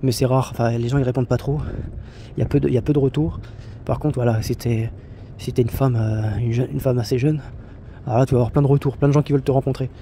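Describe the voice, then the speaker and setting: A man's voice: medium pitch, steady tone, quick pace, warm tone, a little hiss or hum. A man talking casually about solo travel, rambling with many fillers, restarts and blunt slang. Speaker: travel vlogger. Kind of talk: casual spoken monologue.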